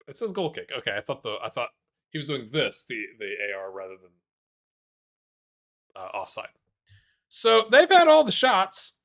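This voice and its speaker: A man with a bright, forward voice talks through his football management game in a loose, chatty way. His pitch leaps around constantly, dropping into low mumbled asides and jumping up into excited shouts when something happens. He pokes fun at himself as he goes, and his voice sits a touch above average in pitch. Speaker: gaming YouTuber playing a football manager game. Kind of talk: casual live commentary over gameplay.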